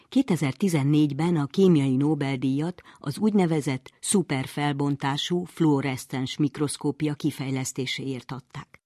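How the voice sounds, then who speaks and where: A woman's voice: extremely low pitch, a little mellow, dry recording, clean studio sound. A woman presenting a science radio programme, reading scripted narration.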